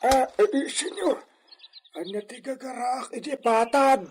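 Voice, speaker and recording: An old ranch hand in an Ilocano radio comedy drama. His voice is high, and he rambles folksily with stammers and exclamations. There is faint noise behind him. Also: unhurried, darkish tone. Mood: flustered, deferential. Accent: Filipino, Ilocano. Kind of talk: comic radio drama acting.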